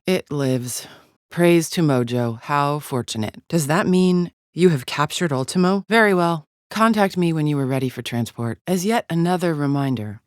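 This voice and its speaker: A woman's voice: sits low, no room sound, clean studio sound. A woman playing a haughty, contemptuous female alien agent in a video game, speaking formally with clipped, disdainful precision. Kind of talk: scripted video game character lines.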